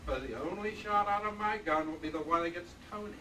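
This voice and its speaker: A man acting a desperate radio-play killer with tough old gangster talk. His voice runs a bit high and comes from off the microphone, in a distant, noisy live stage recording. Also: fairly quick, sharp tone, roomy sound. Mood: menacing. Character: desperate, scheming male killer with a gun. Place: convention stage, live performance.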